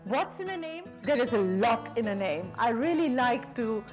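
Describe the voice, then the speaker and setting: A woman's voice: on the high side, lively intonation, normal speed, a little mellow, soft background music. A woman with an Indian accent hosting an interview in a chatty, informal, conversational way. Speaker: programme host and interviewer.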